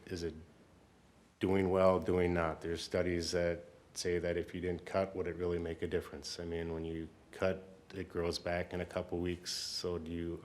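A man speaking as a city staff member, explaining things at a council meeting in an informal, halting way. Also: sits low, slight background noise.

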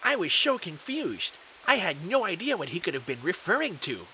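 A man's voice: high-pitched, big pitch swings, moderate pace, over loud music. A man playing a bewildered computer technician who narrates his own mystery audio drama with earnest, melodramatic emphasis. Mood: dramatic, intrigued. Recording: dull, hissy audio.

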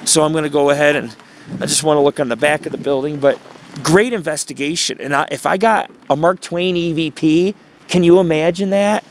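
A man's voice: a little high, steady tone, a little fast. A man giving a casual, rambling tour narration as a vlogger, speaking outdoors into a handheld camera. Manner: chatty, rambling. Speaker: vlogger and paranormal investigator. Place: outdoors in a small town street. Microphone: handheld camera mic. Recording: noisy outdoor recording with traffic ambience.

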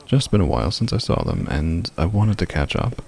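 Male actor as smooth-mannered villain for fantasy roleplay: low, creaky, polite yet taunting. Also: wildly swooping pitch, fairly quick, darkish tone, heavily acted. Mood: courteous, pleasant. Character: smooth menacing male stranger, falsely courteous villain. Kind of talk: fantasy audio roleplay acting.

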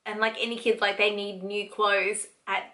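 A woman's voice: mid-range voice, level tone, moderate pace, bright, forceful tone. A woman in her thirties with an Australian accent, chatting casually to the camera in a family haul vlog, gushing and rambling as she justifies her purchases. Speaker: family vlogger. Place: bright home room indoors.

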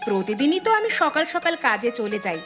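A woman's voice: fairly high pitch, big pitch swings, brisk, music in the background. A woman voicing an indignant, hard-working young hotel employee in a village cartoon, defensive and protesting. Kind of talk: Bengali village cartoon dialogue.

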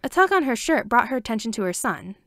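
A woman's voice: a little high, sing-song, quick pace, fairly bright tone, no echo, clean studio sound. A woman reading a story aloud as an amateur audiobook narrator, in scripted narration.

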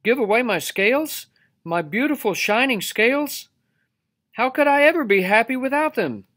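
Man putting on a haughty, high-pitched, whiny storybook fish. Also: lively intonation, normal speed, bright, forceful tone, exaggerated voice. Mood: dismayed. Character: proud, vain, indignant young male fish. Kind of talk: children's storybook read-aloud.

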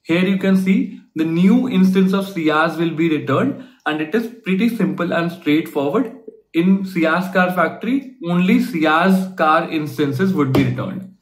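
A young man, hosting a coding tutorial and explaining code step by step in a steady teaching manner, asking himself questions as he goes. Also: fairly high pitch, measured pace, echoey room, low-quality recording. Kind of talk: screen-share programming lesson. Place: small indoor room at a desk.

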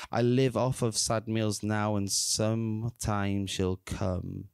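A man in his thirties performing at an open mic, rambling and self-deprecating between slow, deliberate poem readings into a stand mic on a small indoor stage. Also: fairly low pitch, a little mellow, smooth, no echo, clean studio sound. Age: 30s. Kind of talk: spoken word poetry reading. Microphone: stand mic, reading from phone.

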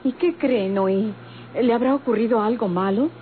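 A woman's voice: on the high side, normal speed, natural voice. An actress playing a courteous, wary woman in a vintage radio drama, with a Mexican accent and careful, formal manners. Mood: concerned, wary. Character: courteous, wary woman receiving a police commander. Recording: tinny, hissy old radio recording.

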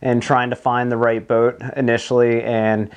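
A man's voice: medium pitch, completely flat delivery, normal speed, smooth. Man explaining things in an interview. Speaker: marine broker, interview guest. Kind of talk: conversational interview answers, explanatory. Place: small office meeting room, indoors.

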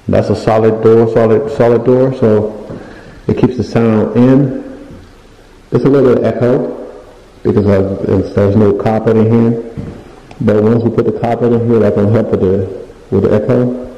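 Man, casual DIY vlogger, rambling and halting delivery, gravelly dark voice, phone audio in an echoey empty drywall room. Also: lowish voice, steady tone. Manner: rambling, searches for words, lists things as he points. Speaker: home renovation vlogger. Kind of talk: casual walkthrough narration. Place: unfinished basement room with bare drywall, indoors. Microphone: handheld phone camera. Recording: echoey bare room, dull lo-fi sound.

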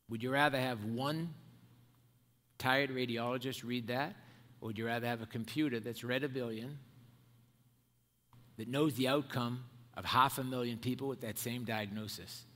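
A man's voice: mid-range voice, fairly bright tone. Older man speaking in a hall. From Ireland and America. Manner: measured, emphatic, builds points in lists. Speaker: keynote speaker. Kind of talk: prepared conference speech. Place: large dark auditorium stage at a podium. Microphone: headset mic. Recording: long hall reverb.

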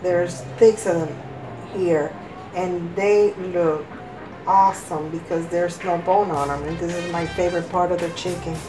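Female home-cooking host, lowish hoarse voice, unhurried and halting, music behind. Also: fairly even intonation, low-quality recording. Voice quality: slightly hoarse. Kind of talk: casual cooking demonstration. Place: home kitchen, indoors.

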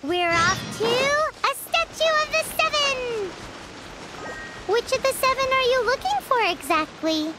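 A woman voicing a tiny cheerful fairy girl guide in an anime video game, with a squeaky childlike voice and perky manner. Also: lively intonation, a little slow, very noisy. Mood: chirpy, eager. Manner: perky, speaks of herself in third person. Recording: game music behind.

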